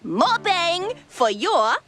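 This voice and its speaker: High, swooping, cheeky young gunsmith. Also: female voice, very animated, extremely slow, background noise, heavily acted. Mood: excited. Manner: perky, teasing. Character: cheeky, excitable young female gunsmith inventor. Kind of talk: cartoonish game cutscene acting.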